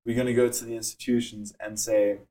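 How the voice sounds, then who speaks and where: A young man with a South African accent, a startup founder explaining his work in a podcast interview, measured and full of fillers. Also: medium pitch, flat delivery, mellow.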